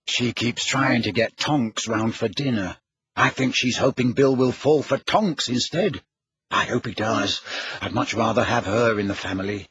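A man's voice: mid-range voice, a little slow, a bit bright, highs cut off, lightly acted. A man voicing a sly, outspoken teenage girl with a cutting, mocking manner in an audiobook story. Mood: incredulous, blunt.

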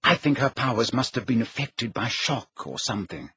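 A man's voice: mid-range voice, big pitch swings, moderate pace, a bit bright, breathy edge, heavily acted. A man voicing an earnest, indignant, clever teenage girl who explains insistently in an audiobook story. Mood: earnest, explaining.

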